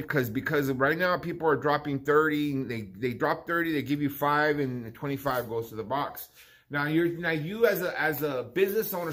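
A man's voice: medium pitch, fairly bright tone. A man in his fifties with a Southern California accent, a barbershop owner talking casually to his phone camera in his small shop, rambling and repeating words. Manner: rambling, repeats words, addresses viewers as guys. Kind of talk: casual vlog talk to camera. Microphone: handheld phone at arm's length.

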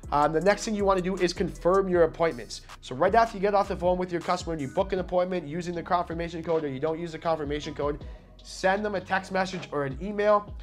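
Higher-pitched man giving informal sales coaching. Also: quick pace, faint music behind. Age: 30s. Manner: direct, coaching, acts out sample phone exchanges. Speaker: car sales trainer and salesman. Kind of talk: informal instructional talk to camera. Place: small office, indoors. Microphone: lapel mic.

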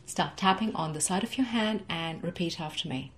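A young woman coaching a guided tapping session from her home office, speaking in slow, soothing, rhythmic repeated phrases for the listener to echo. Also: on the low side, a bit bright, a little hiss or hum. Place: home office with framed certificates, indoors.

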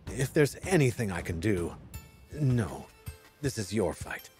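An actor playing a somber, dignified middle-aged king and father in a video game, solemn and deliberate. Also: on the low side, big pitch swings, darkish tone, faint music behind. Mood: somber, tender. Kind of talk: video game cutscene acting.

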